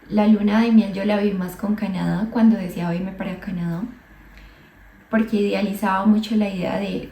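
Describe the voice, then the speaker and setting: A young woman from Colombia, a psychologist, telling her own migration story slowly and thoughtfully, with hesitant restarts. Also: mid-range voice, warm tone, far from the mic. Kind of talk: reflective personal monologue. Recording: echoey room, voice a bit off mic.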